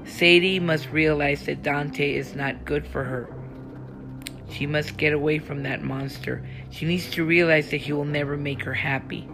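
Fuming protective friend, read by a woman, gravelly edge, music underneath. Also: low-pitched, fairly even intonation, measured pace, low-quality recording. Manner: bitter, fuming inner monologue.

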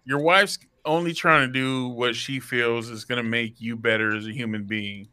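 A man's voice: mid-range voice, steady tone, normal speed, sharp tone, smooth. A man in his thirties co-hosting a podcast, teasing his friends in casual banter. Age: 30s. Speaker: podcast co-host. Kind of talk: casual chat.

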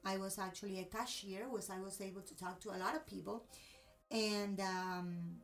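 A woman's voice: medium pitch, steady tone, normal speed, recorded from a distance, faint music behind. A woman from Cuba with a Cuban accent and Spanish as her first language, telling a personal story expressively in a conversational interview.

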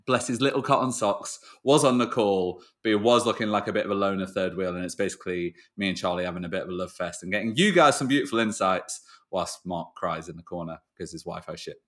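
A man's voice: low-pitched, quick pace. A man hosting a podcast in casual, jokey interview chat, with effusive, informal talk. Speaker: podcast host.

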